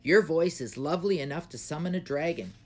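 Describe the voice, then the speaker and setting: A man's voice: fairly high pitch, a little fast, a little hiss or hum, voice pushed up, lightly acted. A man voicing a wise, earnest old man in a children's storybook read aloud. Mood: gentle, earnest. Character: wise old man who speaks to dragons.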